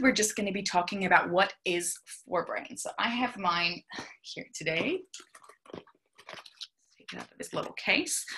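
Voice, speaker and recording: A woman's voice: medium pitch, bright, forceful tone. A young woman from New Zealand with a faded New Zealand accent, presenting a webinar as a speech therapist over a video call in a small room at home, in a friendly, chatty and explanatory way. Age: young adult.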